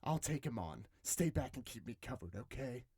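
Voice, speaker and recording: A man playing a brave, protective but cautious young male knight in an audiobook, husky and emphatic. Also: mid-range voice, expressive, normal speed, darkish tone, dry recording, clean studio sound. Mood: confident, protective. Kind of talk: audiobook character acting.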